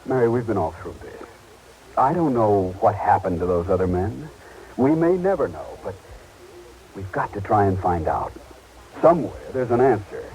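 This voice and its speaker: An actor playing an earnest, driven Air Force astronaut and loving husband in a vintage radio drama, measured and intimate. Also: male voice, on the low side, very animated, mellow, low-quality recording. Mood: tender, reassuring. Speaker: lead actor and narrator. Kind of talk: 1950s-60s radio drama acting.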